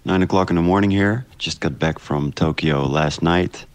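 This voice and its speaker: A man's voice: low-pitched, normal speed, no room sound. A man from the Netherlands talking casually and a bit hesitantly, unscripted, as a guest creator. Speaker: audio drama creator, interview guest. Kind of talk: casual voice memo and call chat.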